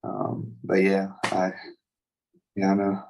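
A man's voice: deep voice, completely flat delivery, takes it slowly, creaky, far from the mic, slight background noise, rough recording. A man, a touring singer, talking casually in an interview with many fillers and restarts. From United States.

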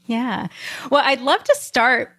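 A woman's voice: sits high, expressive, slow, pushed and bright, no echo. A woman hosting an interview podcast, moving between prepared introductions and conversational questions.

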